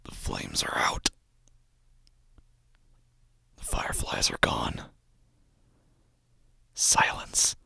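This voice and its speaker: An actor playing a haunted, grieving, quietly unhinged husband who narrates a horror audio drama in halting fragments with a breathy, gravelly whisper. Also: male voice, dry recording, full character voice. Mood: eerie, hollow. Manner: halting, fragmented, drawn-out phrases.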